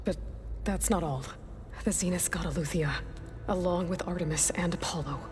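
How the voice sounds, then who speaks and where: A woman's voice: lowish voice, moderate pace, a little mellow, faint music behind, lightly acted. A young woman playing a resolute, pragmatic heroine in a video game, with a direct and plain-spoken manner. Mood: businesslike. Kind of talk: dramatic video game acting.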